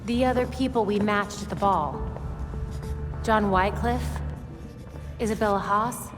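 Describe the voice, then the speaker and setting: A woman's voice: medium pitch, a little slow, natural voice. A woman playing a focused, determined young vigilante investigator in a video game. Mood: resolute. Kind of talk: video game cutscene acting. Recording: reverberant hall, music underneath.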